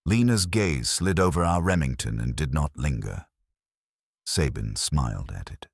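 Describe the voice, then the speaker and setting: A man reading a scripted first-person detective story in a wry, measured way. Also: a bass voice, lively intonation, vocal fry, husky, dry recording, clean studio sound. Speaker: audiobook narrator.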